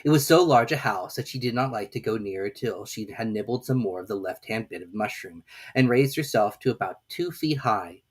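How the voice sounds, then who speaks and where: An audiobook reader narrates a classic children's story expressively. The pitch sits in a middle range and rises and falls in a very animated way. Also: brisk, few pauses, husky.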